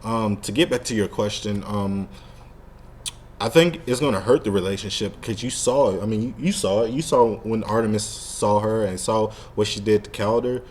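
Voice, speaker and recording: A man's voice: lowish voice, normal speed, darkish tone, slight background noise. A man hosting a casual fan discussion, talking in a slangy, rambling, sometimes profane way. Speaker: show host.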